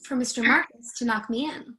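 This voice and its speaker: A woman's voice: a little high, fairly even intonation, brisk, sharp tone. A woman playing a cheeky, wisecracking young woman in a play reading, with a wry, teasing delivery. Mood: wry, curious.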